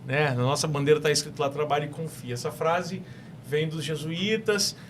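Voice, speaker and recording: A man's voice: on the high side, moderate pace, a little hiss or hum. A young Brazilian man from Espírito Santo with an Espírito Santo accent, a guest on a talk show who speaks eagerly and often repeats or restarts his phrases. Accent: Brazilian Portuguese from Espírito Santo. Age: young adult. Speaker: guest entrepreneur.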